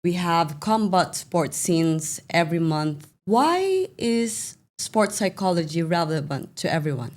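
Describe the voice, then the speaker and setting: Woman hosting a podcast, measured questions. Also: on the low side, big pitch swings. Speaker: podcast host. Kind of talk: interviewing with prepared questions.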